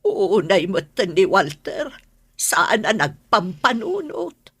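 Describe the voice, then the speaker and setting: Sharp bright female voice, actress as concerned plain-spoken older relative, Ilocano accent, radio drama, dry studio sound. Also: medium pitch, very animated, takes it slowly, breathy edge, dry recording, heavily acted. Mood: sympathetic.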